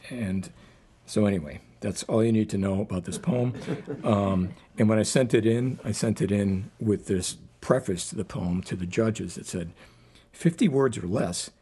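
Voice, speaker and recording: A man speaking informally as a poet at a live reading, rambling and self-deprecating, with a husky voice. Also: fairly low pitch, moderate pace, dark tone. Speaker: poet introducing and reading own poem. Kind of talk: informal live poetry reading introduction with anecdotes.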